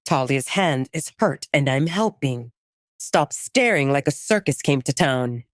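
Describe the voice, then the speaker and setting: A commanding werewolf alpha man as a female narrator acts him, gruff and protective. The delivery drops low and grumbles in short, curt phrases, as in an audio romance drama. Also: expressive, unhurried, no echo, clean studio sound. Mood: grumbling.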